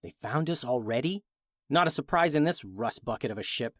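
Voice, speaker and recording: A man voicing a determined young woman who leads a space crew in a children's story, steady and grim. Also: mid-range voice, a little fast, no room sound, studio quality. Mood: grim, commanding.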